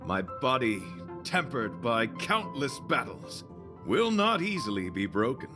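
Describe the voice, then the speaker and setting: Gallant knight, theatrically courtly. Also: male voice, medium pitch, very animated, a little slow. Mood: gallant, resolute. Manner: formal, courtly, flowery diction. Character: noble, chivalrous, devout young knight of beauty. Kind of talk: theatrical video game voice acting. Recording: game music behind the voice. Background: game music.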